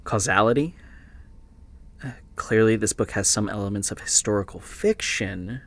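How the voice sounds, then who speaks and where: A man's voice: lowish voice, normal speed, no echo, lightly acted. A man playing a rambling, bookish podcast host who researches occult books, speaking naturally and hesitantly in an audio drama. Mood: puzzled, musing. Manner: hesitant, muttering, explanatory.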